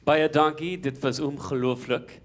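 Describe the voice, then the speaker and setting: A man's voice: fairly high pitch, steady tone, normal speed, some chatter behind. A man speaks hesitantly.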